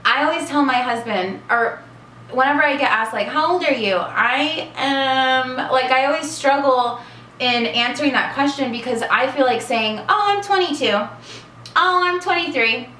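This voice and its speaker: A woman's voice: high-pitched, moderate pace, pushed and bright. A woman in her twenties chatting casually in a vlog, bubbly and full of fillers. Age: 20s. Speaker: vlogger. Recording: echoey room with faint hiss.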